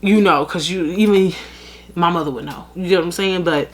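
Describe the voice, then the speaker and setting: A woman's voice: lowish voice, a little fast. Chatty young woman, frank podcast talk. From United States. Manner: chatty, frank, full of fillers and asides to listeners. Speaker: podcast host. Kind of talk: casual solo commentary.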